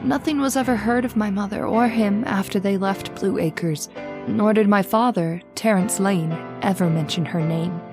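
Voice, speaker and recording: A woman's voice: medium pitch, natural voice. A woman playing a lonely, romantic twenty-one-year-old daughter in an old-time radio drama, with a wistful, formal manner. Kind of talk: old-time radio horror drama acting, first-person narration.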